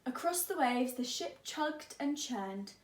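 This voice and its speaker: Slow, higher-voiced woman teacher, echoey room. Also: lively intonation, slight background noise. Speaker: teacher reading to pupils. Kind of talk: expressive children's story reading.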